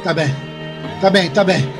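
An actor playing an angry, foul-mouthed middle-aged father in an audio drama, gruff and confrontational. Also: sits high, a little slow, faint music behind. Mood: cowed.

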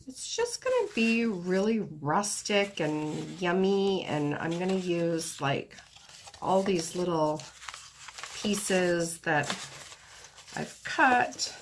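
Casual craft host, woman, stuffy-nosed, gravelly low voice, unhurried rambling delivery, noisy tabletop recording. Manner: chatty, rambling, relaxed while working with her hands. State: stuffy nose from a cold. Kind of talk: casual craft tutorial talk. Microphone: camera above a tabletop, hands only.

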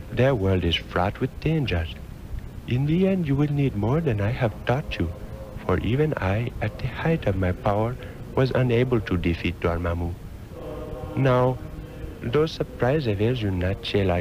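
A man's voice: fairly low pitch, background noise. An actor playing an aged, weary mystic master in a 1960s radio drama, solemn and fatherly in formal archaic phrasing. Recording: muffled old radio drama, music behind.

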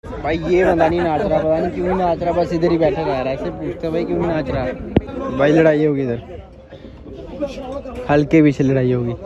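A man's voice: on the high side, steady tone, brisk, pauses often, lo-fi sound. A young man from India chatting casually as a vlogger, addressing viewers with repeated catchphrases. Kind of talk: casual vlog chat. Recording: noisy outdoor crowd, loud music.

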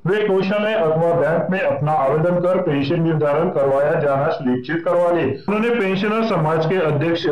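A man hosting a pension news channel, reading out updates in a voice-over with stock phrases. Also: a little high. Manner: repeats stock phrases, steady explanatory reading. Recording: echoey, dull lo-fi voice-over with crowd noise.

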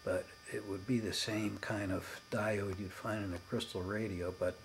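A man giving an unscripted demonstration as a hobbyist, in halting, broken phrases with emphatic stresses. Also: on the low side, flat delivery, gravelly, slight background noise. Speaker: hobbyist demonstrating his electronics experiments.

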